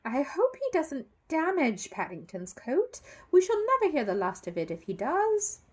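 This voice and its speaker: Anxious, proper mother for a children's tale, done by a woman reader, raised pitch, fretful delivery. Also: wildly swooping pitch, moderate pace. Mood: nervous. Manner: nervous fretting. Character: anxious, fussing, well-mannered married mother.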